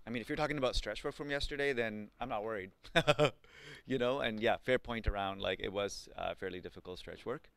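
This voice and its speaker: A man's voice: on the low side, a little fast, vocal fry, a bit bright, smooth, dry recording. A man from Pakistan in his thirties teaching a live coding class, chatty, with lots of ums and questions to the students. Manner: conversational, many ums and likes, asks the class questions. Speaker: coding bootcamp instructor.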